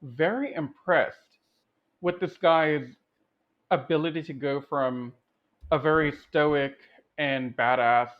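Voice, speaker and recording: A man's voice: on the high side, slow, pauses often. A man co-hosting a film review podcast in a casual, conversational discussion style. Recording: dull, lo-fi voice sound.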